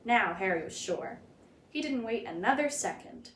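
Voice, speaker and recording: A woman narrates a picture book for children with a highly animated, swooping delivery. Her voice is on the high side and expressive. The room adds noticeable echo, with a little noise underneath. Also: moderate pace. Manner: animated, dramatic read-aloud delivery. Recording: roomy echo, background noise.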